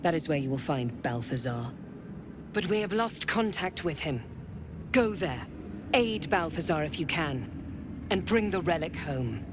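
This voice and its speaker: An actress playing a seductive, menacing female commander of a dark cult in a video game, sultry and commanding in manner. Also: deep voice, expressive, measured pace, noisy background.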